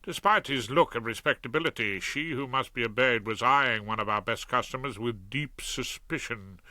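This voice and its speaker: A man's voice: mid-range voice, moderate pace, pushed and bright, no room sound. A man reading a comic audiobook in the first person, dry and wry. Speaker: audiobook narrator.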